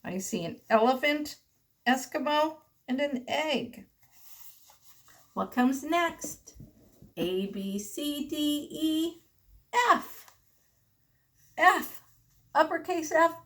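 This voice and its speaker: A woman reading aloud to young children as a teacher, enunciating deliberately and prompting them with questions. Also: high voice, lively intonation. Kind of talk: children's read-aloud lesson.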